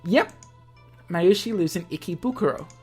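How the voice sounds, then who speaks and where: A woman playing a childlike, cheerful high school girl in a visual novel, with a simple, chirpy manner. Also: animated, moderate pace, a little mellow, soft background music, natural voice. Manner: simple, chirpy, talks in third person.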